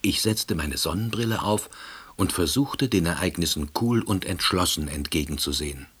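An actor playing a hapless, pedantic husband and novice salesman who narrates his own story in a radio play, deadpan and precise. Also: deep voice, normal speed, slightly rough. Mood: wry, deadpan.

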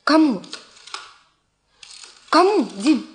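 An actress playing an affectionate, questioning, concerned wife in a noisy 1970 Soviet radio play recording. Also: female voice, sits high, sing-song, very slow, heavily acted.

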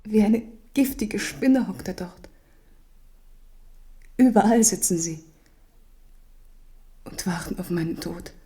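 A woman's voice: mid-range voice, slow, long pauses, mellow, natural voice. An actress in a German radio drama playing a king's despairing, fearful mistress, intense and often whispering. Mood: frantic fear. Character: despairing, fearful royal mistress foreseeing her own death.

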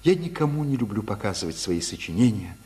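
Soulful master violinist, expressive pitch. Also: male voice, brisk, very noisy. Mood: tender, solemn. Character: solemn, soulful male master violinist-composer. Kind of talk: 1950s Soviet radio drama acting. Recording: 1950s radio recording, long reverb.

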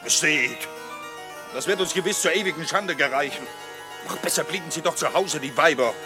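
An agitated peasant acted by a man calls out in a high voice in an echoing space, with music clearly behind. He is indignant and urgent, a rustic figure from a storybook verse fable. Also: normal speed, close to an everyday voice. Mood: indignant grumbling. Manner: urgent, calling out, grumbling. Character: agitated male peasant craftsman, loud and indignant. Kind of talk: theatrical verse fable reading. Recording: echoey room, music behind.

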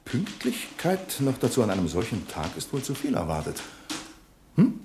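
An actor playing a pedantic, bossy bank manager in a 1970s radio play, stern and officious, giving clipped, emphatic commands. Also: male voice, mid-range voice, huge pitch swings, moderate pace. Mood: disapproving. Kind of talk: 1970s German-language radio play acting. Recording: echoey, reverberant hall with faint hiss.

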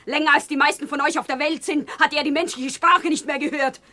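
A high female voice carries this fervent rebel wife in a radio play. She speaks with theatrical, impassioned declamation, always pleading for justice. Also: steady tone, brisk, bright, forceful tone. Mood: indignant. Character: fervent, rebellious shopkeeper's wife rousing a mob. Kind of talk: theatrical German radio drama acting.

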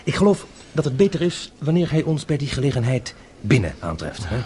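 An actor playing a courteous, self-assured French intelligence officer in a Dutch radio play, speaking formally with small hesitations. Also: male voice, mid-range voice, very animated, a little mellow, lightly acted. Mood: composed, confident. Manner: measured, formal, hesitates before answers. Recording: radio play with noisy background ambience.

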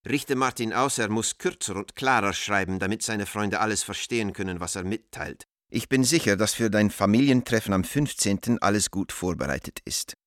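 A man plays a composed businessman reading out his letters in a radio play. The voice is fairly low and very dry. He is measured and formal, setting down each item deliberately. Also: fairly bright tone, no room sound, lightly acted. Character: composed, pointedly cordial businessman dictating coded letters to a friend.

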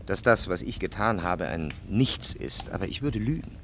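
A jealous lover in a radio drama, solemn and full of sorrow. His voice sits fairly low and he phrases deliberately with heavy pauses. There is faint noise and ambience behind him. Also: lively intonation. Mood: sorrowful, solemn.

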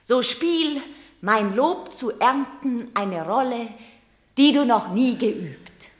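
A woman's voice: fairly high pitch, lively intonation, slow pace, roomy sound. An actress playing a shrewd, commanding mother urging her son in a radio drama.

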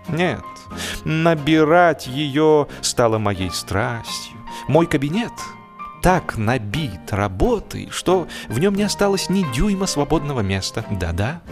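Male audiobook narrator, medium pitch, expressive comic delivery with drawn-out emphatic phrasing, light music underneath. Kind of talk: expressive humorous scripted narration, first person.

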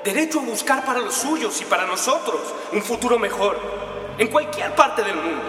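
A man's voice: very high voice, animated, normal speed, sharp tone, exaggerated voice. A man playing a solemn migrant activist in a radio drama, declaiming a memorial speech. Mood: solemn, resolute. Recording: echoey reverberant space, music behind.

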